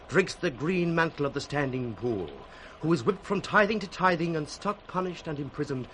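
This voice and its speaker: Crazed, haunted beggar, high and piercing, chanting with sudden cries, vintage radio play with faint noise. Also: male voice, a bit bright. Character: raving male mad beggar, haunted by fiends. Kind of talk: 1960s Shakespearean radio acting. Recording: faintly noisy 1960s radio recording.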